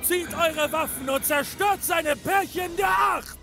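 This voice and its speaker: A man's voice: very high voice, takes it slowly, vocal fry, shouting, over music, exaggerated voice. A man voicing a solemn, imperious card-duelist hero in an anime parody fandub, declaiming in a pompous, theatrical way. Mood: triumphant, grandiose.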